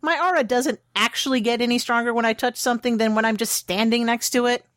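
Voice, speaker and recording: A man's voice: very high-pitched, steady tone, fast talker, fairly bright tone, no room sound, clean studio sound, pitched above the performer's own voice. A man voicing a brash, confident young woman who teases and pushes ahead without hesitation. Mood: teasing. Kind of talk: audiobook character voice.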